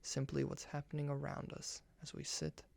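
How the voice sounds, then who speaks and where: A soft, hushed man guiding a meditation in ASMR style, speaking gently in measured short phrases. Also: a little high, completely flat delivery, vocal fry, dry recording.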